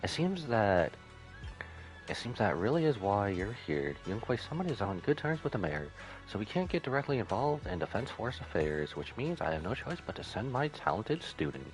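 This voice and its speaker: A man's voice: fairly low pitch, sing-song, normal speed, faint music behind, low-quality recording. A man reading out the game lines of a stern, knowing, protective female martial arts master. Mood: stern, cautioning. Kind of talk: reading game dialogue aloud.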